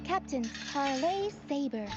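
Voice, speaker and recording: High-pitched earnest young game heroine. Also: female voice, lively intonation, a little slow. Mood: playful, showy. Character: young woman troupe performer, earnest, playful guide. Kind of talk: scripted video game voice acting. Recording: dull game capture under music. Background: clear music.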